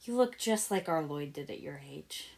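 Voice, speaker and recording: A woman's voice: sits low, expressive, moderate pace, creaky, darkish tone. A woman voicing a fond, anxious mother in a read-aloud story. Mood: bright, fond. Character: fond, anxious mother comforting a young boy.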